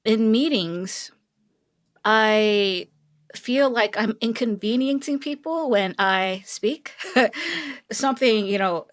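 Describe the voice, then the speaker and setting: A pregnant woman in her thirties from South Korea, a tech executive talking earnestly and reflectively as a podcast guest, with frequent light laughs. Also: a little high. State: heavily pregnant. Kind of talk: podcast interview.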